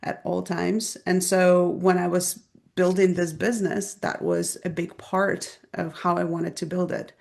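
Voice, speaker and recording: A woman from Belarus with a Belarusian accent, a native Russian speaker, calmly explaining her views step by step as a podcast guest. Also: lowish voice, vocal fry. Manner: measured, explanatory, lays out points step by step. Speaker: guest, business strategist. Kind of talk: podcast interview conversation.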